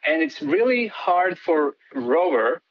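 A man's voice: on the high side, lively intonation, slow pace, muffled recording, a little hiss or hum. A man from Latvia, a PhD student guest on a radio interview, talking hesitantly with many fillers and restarts.

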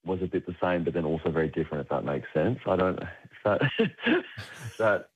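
Brief: young hoarse Australian guy, lively up-and-down delivery, lower voice, muffled lo-fi line. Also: fairly quick. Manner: rambling, laughs often, many fillers. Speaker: radio guest. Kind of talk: casual chat.

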